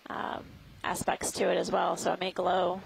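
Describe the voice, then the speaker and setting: Very creaky-voiced woman commentator. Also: lowish voice, monotone, normal speed, rough recording. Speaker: expedition scientist narrating dive. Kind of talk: live commentary on a deep-sea dive. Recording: faint background noise.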